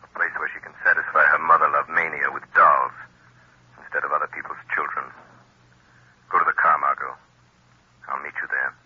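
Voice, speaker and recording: An actor playing a grave, commanding man in an old radio drama, speaking low, firm and serious. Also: flat delivery, fairly quick, long pauses, slightly rough. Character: grave, commanding adult male hero. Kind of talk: 1930s radio drama acting. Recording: dull lo-fi old radio recording.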